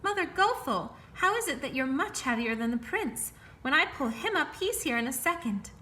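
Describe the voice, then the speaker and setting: A woman's voice: sits high, big pitch swings, normal speed, pushed and bright, slight background noise, voice pushed up, full character voice. A woman voicing a sweet young maiden. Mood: innocent.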